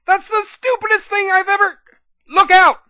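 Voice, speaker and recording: A man voicing a brash, impulsive young mare pony fighter, excitable and blurting, in a dramatic story reading. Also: extremely high pitch, moderate pace, no echo, voice pushed up, heavily acted. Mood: urgent shouting. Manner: yelling, blurting.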